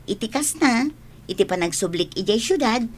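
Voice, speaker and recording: A woman's voice: on the high side, animated, normal speed, slight background noise, natural voice. A woman playing a friendly, persuasive young bar waitress in a scripted audio drama. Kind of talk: scripted radio-style drama.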